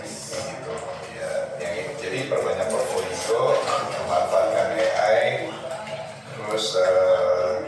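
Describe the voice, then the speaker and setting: A man's voice: low-pitched, steady tone, slow pace. A man with a Jakarta Indonesian accent giving casual interview advice, informally explaining things with quoted examples and rhetorical questions. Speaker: interview guest, creative industry professional. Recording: very echoey room, distant mic, music behind.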